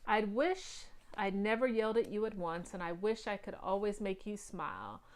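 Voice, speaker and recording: A woman's voice: mid-range voice, animated, normal speed, natural voice. Woman voicing an angry worn-out dad. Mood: tender. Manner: ranting, then soft and trembling. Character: angry tired working father, gruff.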